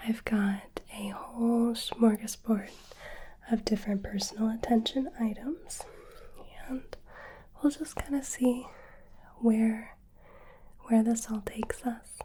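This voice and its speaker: A woman whispering gently through a caring ASMR personal-attention roleplay, her voice a little hoarse and sniffly from hay fever. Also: a little high, fairly even intonation, slow, pauses often, poor audio. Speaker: ASMR creator.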